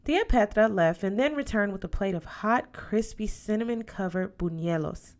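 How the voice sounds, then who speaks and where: Woman, audiobook reader, medium pitch, scripted first-person story narration. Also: normal speed.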